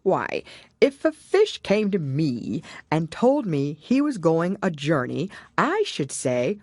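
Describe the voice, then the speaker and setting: A mournful old turtle creature from a children's storybook, acted out by a woman. He drags his words out slowly and ponderously in a low register, sighing and a little offended. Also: big pitch swings, darkish tone, dry recording, studio quality, full character voice. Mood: pompous, offended. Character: slow, mournful, easily offended old male Mock Turtle. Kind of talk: children's storybook character reading.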